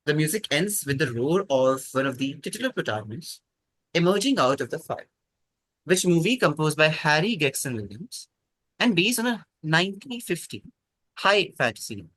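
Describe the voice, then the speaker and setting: Quiz master, male, lively range, husky edge, reads questions aloud. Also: fairly high pitch, moderate pace, no room sound, rough recording. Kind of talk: reading quiz questions on an online quiz.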